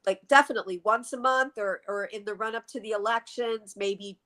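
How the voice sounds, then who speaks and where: A woman's voice: medium pitch, brisk, pushed and bright. Woman in her sixties, from the Philadelphia Main Line, co-host, casual chat, reflective, laughs often. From Philadelphia Main Line, USA. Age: 60s. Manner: reflective, laughs often, repeats words while thinking.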